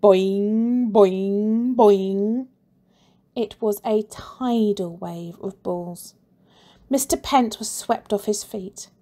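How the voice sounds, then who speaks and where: A woman teacher reading a children's storybook aloud with lively expression and playful sound effects. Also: mid-range voice, drawn-out words.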